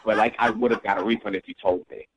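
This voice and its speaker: A man's voice: fairly low pitch, fairly even intonation, quick pace, a crowd in the background. A man calling a radio show, chatting casually and earnestly over the phone. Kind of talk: casual chat. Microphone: telephone. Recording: slightly dull phone call audio.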